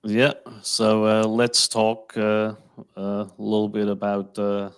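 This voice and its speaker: A man giving casual market commentary as host, in a halting, hesitant way. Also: lowish voice, completely flat delivery, smooth. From Switzerland.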